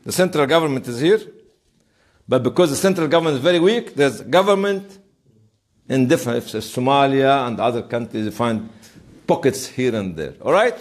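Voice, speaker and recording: Animated man lecturing a class. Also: mid-range voice, moderate pace. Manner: emphatic storytelling with rhetorical questions. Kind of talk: informal interactive classroom lecture.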